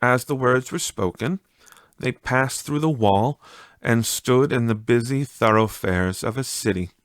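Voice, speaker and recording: A man narrating a classic story as a measured, deliberate audiobook reader. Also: mid-range voice, no room sound. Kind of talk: scripted literary narration.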